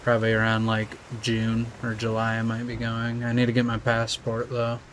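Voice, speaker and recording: A young American man, just out of high school, chatting casually and rambling with viewers while he plays. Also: monotone, normal speed, fairly bright tone, slight background noise. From United States. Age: young adult. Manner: laid-back, rambling, slangy. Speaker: streamer. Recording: music playing behind.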